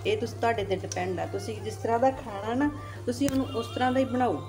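A woman's voice: fairly high pitch, racing, music in the background. An older woman from Punjab with a Punjabi accent, giving a chatty, homely cooking demonstration in her home kitchen and repeating herself for emphasis. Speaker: cooking vlogger.

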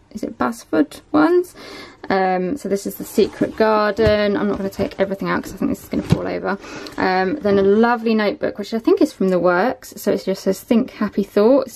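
A woman, chatting casually to camera at home and showing items, with lots of ums and a voice that is going a bit hoarse. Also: mid-range voice, a little mellow, a little hiss or hum. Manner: chatty, many ums, shows items one by one. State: losing her voice a bit. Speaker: vlogger. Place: small room at home, indoors.

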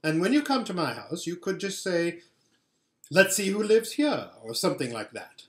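A man's voice: high-pitched, very animated, moderate pace, fairly bright tone, echoey room, voice pushed up. Man putting on a sly, lilting witch queen. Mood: coaxing. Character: sly, coaxing witch queen, falsely sweet. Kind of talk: storybook read-aloud.

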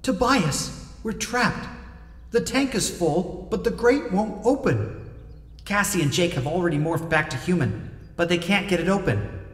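A man's voice: sits high, lively intonation, unhurried, pitched above the performer's own voice. A man voicing a brave, resolute teenage girl in an audiobook, earnest and pleading, with an echoing telepathic effect. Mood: urgent, frightened. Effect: echoing telepathic-voice reverb. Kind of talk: dramatised audiobook dialogue. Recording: echoing long artificial reverb.

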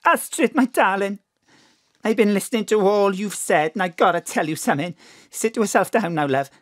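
A woman's voice: medium pitch, moderate pace, dry recording, clean studio sound, close to an everyday voice. An actress with a Welsh accent playing a doting, plain-spoken middle-aged mother in an audio drama, emphatic and colloquial. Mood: grave, tender.

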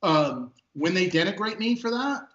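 Booming, emphatic man on a video call. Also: animated, normal speed, fairly bright tone. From Texas. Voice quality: big booming voice. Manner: emphatic, repeats words when stressing a point. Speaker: interview guest, police sergeant. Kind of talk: emotional, earnest interview talk. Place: office at a desk. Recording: echoey, dull video call audio.

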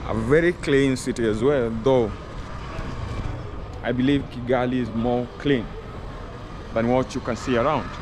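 A Kenyan man in his forties talks straight into a camera held at arm's length as he walks the streets. His tone is chatty and earnest, and at times he pleads with his viewers. Passing traffic is clearly audible behind his voice. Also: medium pitch, fairly even intonation, unhurried, long pauses. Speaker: travel vlogger. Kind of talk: walking city tour vlog. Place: outdoors on city streets. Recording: outdoor street noise. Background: city traffic.

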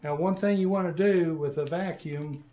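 An older man in a workshop, calmly demonstrating a task in casual how-to style, pausing with fillers as he works. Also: fairly high pitch, lo-fi sound. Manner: unhurried, plainspoken, pauses with fillers while working. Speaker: workshop host. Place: large workshop with shelves of printers, indoors.

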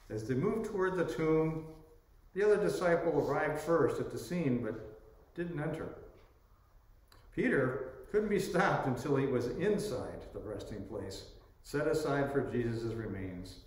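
An older man in his sixties, a priest reading a sermon slowly and deliberately from the pulpit, his voice rising and falling. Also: a little high. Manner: slow, deliberate delivery with lively rises and falls. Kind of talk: sermon read from a script. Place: church sanctuary by the organ pipes. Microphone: pulpit microphone on a stand. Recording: echoey church from a distant camera.

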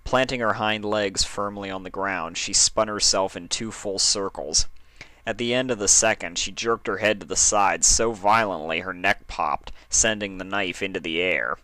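A man narrates fiction from a script. His voice is low but bright and crisp-edged, and the recording is dry, with very little room sound. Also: moderate pace, no room sound. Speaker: fan fiction reader, narrator.

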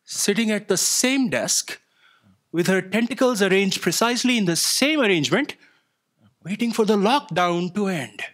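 Exasperated sidekick for stage sci-fi comedy, played by a man: very high-pitched, hesitant, easily defeated. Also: animated, moderate pace, fairly bright tone. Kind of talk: staged audio drama comedy. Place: on stage in a theatre. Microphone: stand microphone.

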